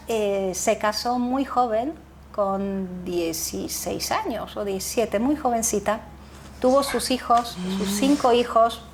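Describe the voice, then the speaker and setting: Animated woman telling family stories. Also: a little high, a little hiss or hum. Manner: animated, laughs often, restarts words. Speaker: guest, association founder. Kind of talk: casual interview storytelling.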